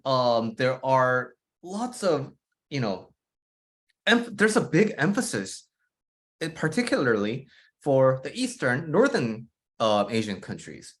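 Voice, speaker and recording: A man's voice: medium pitch, fairly bright tone. A man speaking as an interview guest over a video call, reflective and loose, with many fillers. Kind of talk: casual conversational interview.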